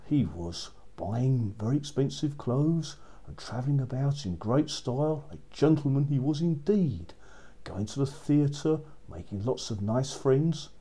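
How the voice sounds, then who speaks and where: A man's voice: mid-range voice, dark tone, breathy edge. A man narrating a fairy tale for children as a storyteller, repeating phrases for effect.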